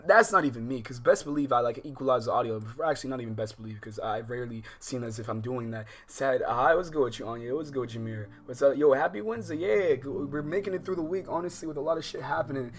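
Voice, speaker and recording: A man's voice: mid-range voice, slight background noise. An American man doing casual, slangy livestream commentary on a game, rambling and often interrupting himself. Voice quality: informal slangy speech. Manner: rambling, halting, self-interrupting. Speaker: livestream host. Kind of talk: casual gaming livestream commentary, reading visual novel aloud.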